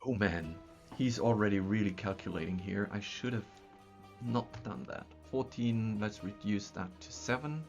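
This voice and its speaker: A man's voice: on the low side, normal speed, vocal fry, a little mellow. Austrian man thinking aloud on stream. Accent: Austrian German. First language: German. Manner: thinking aloud with frequent ums and restarts. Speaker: streamer building a map. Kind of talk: casual live-stream chat. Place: small room at a desk, indoors. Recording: slightly dull sound, faint music.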